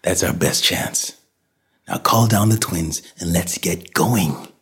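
A man's voice: low-pitched, slow, breathy edge, full character voice. A man voicing a frantic, commanding husband and father in a dramatic audiobook, barking urgent, insistent lines. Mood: urgent, grim. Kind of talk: dramatic audiobook character reading.

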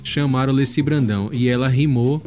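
The Brazilian man you'd hear on a music podcast, swapping stories between tracks while a tune plays low underneath. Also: fairly quick. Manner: chatty, anecdotal storytelling. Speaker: music show host. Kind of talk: casual music commentary between songs. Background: music playing softly behind.

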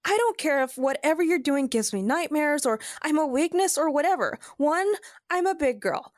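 Woman acting a feisty, high-voiced young heroine. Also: animated, normal speed, no room sound, studio quality. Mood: upset, defiant. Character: feisty, stubborn young woman in love, space-opera heroine. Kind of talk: audio drama acting.

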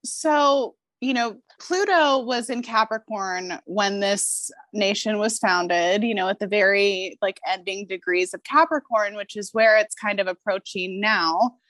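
A woman of middling pitch talks astrology as a podcast guest, opinionated and lively, peppering her speech with fillers and casual cursing. The recording is dry and close. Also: measured pace, few pauses, no echo. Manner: animated, opinionated, swears, lots of filler likes.

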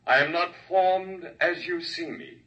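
Solemn alien orator. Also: male voice, fairly high pitch, bright, forceful tone. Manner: formal, measured oratory. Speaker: alien speaker addressing a crowd. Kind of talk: 1950s radio drama acting. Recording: muffled 1950s radio broadcast, distant mic.

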